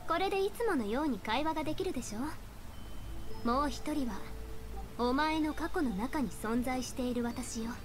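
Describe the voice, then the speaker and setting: A woman's voice: high-pitched, animated, normal speed. An actress playing a small, self-assured young female seer in an anime game, speaking in a composed, authoritative, formal way. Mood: matter-of-fact. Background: game music.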